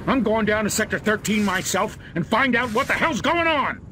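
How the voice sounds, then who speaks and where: A man playing a blustering, angry middle-aged American military officer in a video game cutscene, gruff and profane. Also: high-pitched, expressive, normal speed, pushed and bright, slight background noise.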